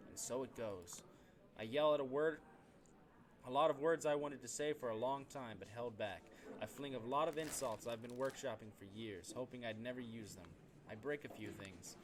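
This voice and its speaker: A man's voice: medium pitch, normal speed, crowd noise. A man reading a visual novel's text aloud for a let's play, dramatically and sometimes stumbling over the words. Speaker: video game streamer.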